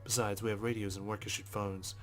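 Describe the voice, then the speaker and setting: Low, flat-toned man reading game narration. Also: fairly quick, soft background music. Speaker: gamer reading a visual novel aloud. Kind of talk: reading narration aloud in a let's play.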